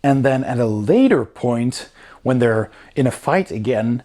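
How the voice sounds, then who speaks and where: A man with a mid-pitched voice talks through a rulebook as a reviewer. His delivery is casual and explanatory, with an informal, conversational way of putting things. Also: expressive, unhurried, warm tone. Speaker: board game reviewer.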